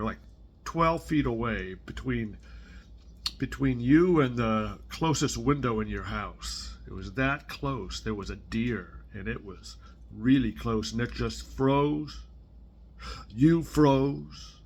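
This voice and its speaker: Slow-paced older man reading to a child. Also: mid-range voice, creaky. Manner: gentle, conversational, explaining simply to a child. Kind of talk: casual read-aloud storytelling for a child.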